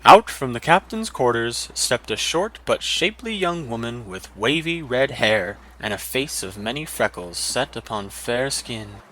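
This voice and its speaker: A man's voice: medium pitch, animated, a little slow, few pauses, a bit bright, rough recording. A man reading a scripted fantasy adventure story as a dramatic narrator.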